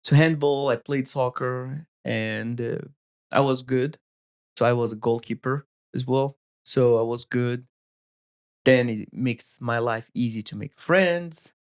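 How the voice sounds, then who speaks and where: A man from Morocco in his thirties with a Moroccan accent, telling his life story as a podcast guest in halting English, often searching for words and restarting. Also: mid-range voice, vocal fry. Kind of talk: casual storytelling interview.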